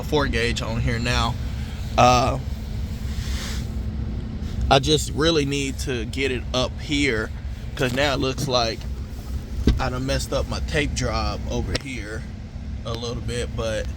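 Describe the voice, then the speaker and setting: A man talking casually and informally in slangy, swear-sprinkled speech, as a vlogger walking viewers through hands-on work. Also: medium pitch. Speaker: car audio vlogger. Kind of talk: casual hands-on install vlog talk. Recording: noisy vehicle and outdoor phone-video sound.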